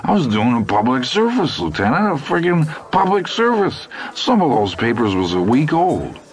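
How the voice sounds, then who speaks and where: A man reading a novel aloud, voicing a smelly, stubborn male derelict in a high, whining voice. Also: big pitch swings, normal speed, lightly acted, low-quality recording. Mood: righteous. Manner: whiny, insistent.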